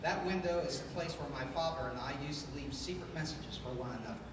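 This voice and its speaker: A man acting a commanding, analytical adventure hero who leads his crew, in the deliberate, authoritative style of 1930s radio drama. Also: on the high side, lightly acted. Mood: grave, deliberate. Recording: echoey hall from far off, noisy.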